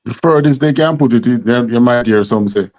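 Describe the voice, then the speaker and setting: A man's voice: medium pitch, a little fast, a little mellow, dry recording. Emphatic Jamaican man lecturing, dull sound. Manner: emphatic, rhetorical questions, repeats himself. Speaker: class teacher. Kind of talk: lecture in a scripture class.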